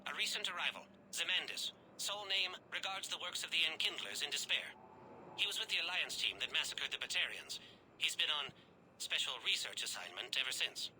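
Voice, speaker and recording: A man's voice: a little high, lively intonation, brisk, pushed and bright, slight background noise, rough recording. A man playing an analytical alien special agent in a video game, speaking in clipped, matter-of-fact phrases. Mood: businesslike.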